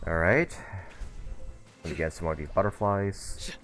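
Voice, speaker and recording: A man's voice: deep voice, normal speed, a bit bright, faint music behind. A man live streaming a video game, giving casual, rambling commentary as he thinks aloud.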